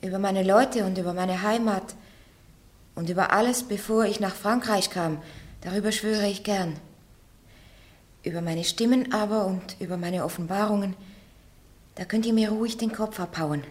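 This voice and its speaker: A woman's voice: mid-range voice, normal speed, long pauses, lightly acted. An actress playing a stubborn young peasant girl on trial, speaking plainly and without fear. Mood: defiant. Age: young adult.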